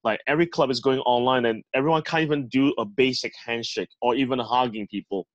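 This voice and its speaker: A man emceeing an online meeting over a video call, upbeat and encouraging. His voice sits at a middle pitch. The sound is dry and close. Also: fast talker, no room sound, muffled recording. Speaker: host.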